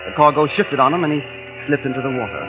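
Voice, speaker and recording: A reserved, politely clipped explorer played by a man tells his tale in a measured way. The sound is muffled like a vintage radio play, with music clearly heard under the voice. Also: medium pitch, close to an everyday voice. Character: weary feverish male explorer, polite and reserved. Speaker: narrator and lead in radio drama. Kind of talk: 1940s radio acting.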